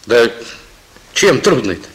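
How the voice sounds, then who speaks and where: Stern but fatherly soviet police boss, forceful husky voice, old radio play. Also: mid-range voice, very animated, slow, heavily acted, low-quality recording. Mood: brisk. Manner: weighty, admonishing, repeats words. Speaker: actor. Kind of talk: Soviet radio drama acting.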